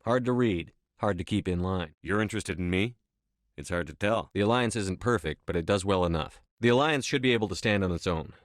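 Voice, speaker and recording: A man acting a stern, steady space-navy commander in a video game, with a measured, dry, authoritative delivery. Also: low voice, no echo, lightly acted. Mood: earnest, steady.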